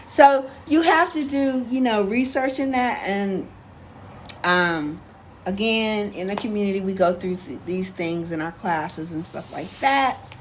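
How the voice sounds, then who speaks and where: An American woman chatting casually on a livestream as host, answering viewers in an animated, sassy, colloquial way. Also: medium pitch, unhurried. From United States. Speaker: live stream host. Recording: clear background noise, ambient sound.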